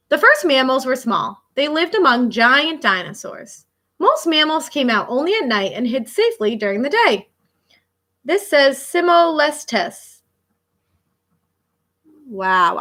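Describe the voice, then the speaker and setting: Bright, high-pitched woman reading to children. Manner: expressive, child-directed, pausing to react and explain. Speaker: elementary school teacher reading a picture book. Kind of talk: read-aloud of a children's nonfiction book with casual asides.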